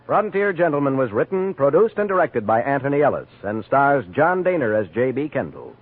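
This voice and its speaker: An American man announcing a scripted radio drama in formal, dramatic, deliberate 1950s style. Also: mid-range voice, big pitch swings. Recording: narrow-band old radio broadcast. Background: music.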